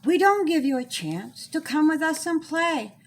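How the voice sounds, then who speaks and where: A woman's voice: high-pitched, expressive, unhurried, slight background noise. A woman voicing a small, earnest storybook child who reasons plainly with others. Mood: kind, forgiving.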